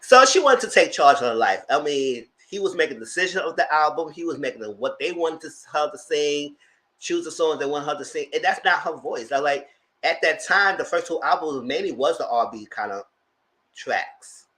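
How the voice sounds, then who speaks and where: A man with a light, high-pitched voice, chatting casually as host of a live documentary review and greeting viewers. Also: animated, moderate pace, pushed and bright, low-quality recording. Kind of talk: casual live-stream commentary.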